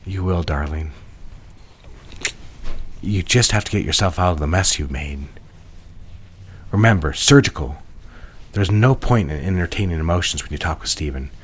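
An actor playing a possessive, jealous lover in an audio drama, measured and commanding, speaking in an intimate, controlling way. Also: male voice, low-pitched, animated, slightly rough. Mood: cool, jealous. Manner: measured, directive, intimate murmuring.

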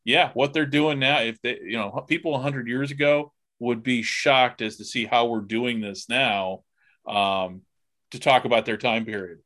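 A man hosting a podcast interview in a casual, halting way, thinking aloud as he talks. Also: medium pitch, a bit bright. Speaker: podcast host interviewing guests.

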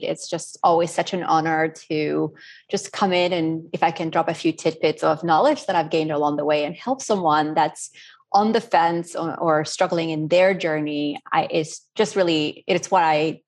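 A woman's voice: deep voice, fairly even intonation, moderate pace, gravelly. A middle-aged woman from Spain answering interview questions in an earnest, rambling, conversational way. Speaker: interview guest, self-taught cook.

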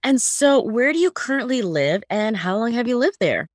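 A woman hosting an interview podcast, speaking with a slightly husky voice. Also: on the high side, sing-song, normal speed, dry recording, studio quality. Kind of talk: scripted podcast intro and conversational interviewing.

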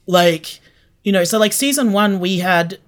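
A guest chats in an interview about their creative work. The delivery is earnest and informal, with frank, blunt wording and a middling pitch. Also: unhurried. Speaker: interview guest, audio drama maker. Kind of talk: casual interview chat.

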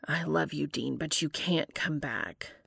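Woman as soft, low, rumbling gentle male doctor. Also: measured pace, creaky, breathy edge, no echo, clean studio sound, full character voice. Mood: sad, firm. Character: gentle, loving male doctor, sad but firm.

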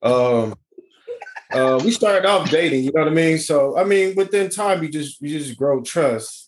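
A man's voice: a little high, expressive, a little slow. A man in his forties talking casually and slangily in a group chat, laughing often. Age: 40s. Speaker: panel guest.

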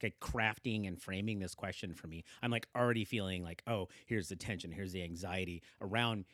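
A man's voice: low-pitched, a little fast, fairly bright tone, dry recording, clean studio sound. A man in his forties, born in the Philippines, speaking earnestly as a guest in a conversational interview, with emphatic agreements and frequent fillers. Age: 40s.

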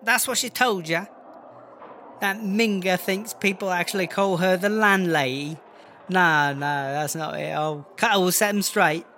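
Comedy audio drama: male actor as cocky English woman inmate, slangy blusterer, high bright voice over music. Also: unhurried, heavily acted. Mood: scornful, bossy. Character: brash, foul-mouthed English female prison inmate and rapper. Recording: music under the voice.

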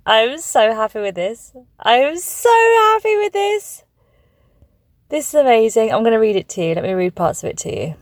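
A middle-aged woman, a comedian chatting casually to camera on her tour vlog, excitable and gushing. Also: on the high side, normal speed, dry recording. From England.

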